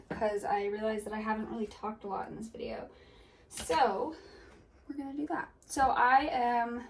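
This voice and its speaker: A young woman gives a casual reading update, her voice a little higher than most. She sounds congested and nasal from a cold. She rambles along, leaning on fillers like "like" and "um". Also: slight background noise. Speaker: book vlogger.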